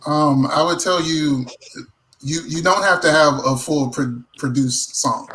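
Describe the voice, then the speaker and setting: A man giving advice as a guest in a casual chat, in a measured, plain-spoken way. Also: on the high side, a bit bright, slight background noise, rough recording. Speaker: songwriter and producer, panel guest.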